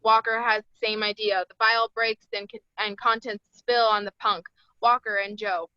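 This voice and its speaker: A woman's voice: mid-range voice, level tone, normal speed, sharp tone, smooth, no echo, dull audio. A woman reading screenplay stage directions as the narrator at a table read.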